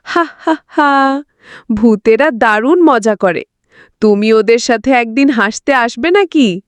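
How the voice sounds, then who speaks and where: A woman's voice: fairly high pitch, lively intonation, takes it slowly, no echo. A woman voicing a young city man in a ghost story, with a questioning, theatrical manner. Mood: eerie glee. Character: skeptical young city man turned gleefully haunted.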